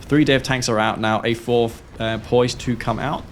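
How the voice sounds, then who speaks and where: A man commentates his own strategy game in a medium-pitched voice. He has a sore throat as he talks through the play. Faint noise sits behind his voice. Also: fairly even intonation, moderate pace. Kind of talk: gameplay commentary of own match.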